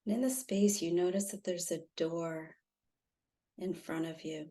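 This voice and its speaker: A woman guiding a meditation in a soothing, gently instructive way, leaving long pauses. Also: fairly low pitch, fairly even intonation. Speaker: meditation guide, intuitive healer. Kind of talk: guided meditation.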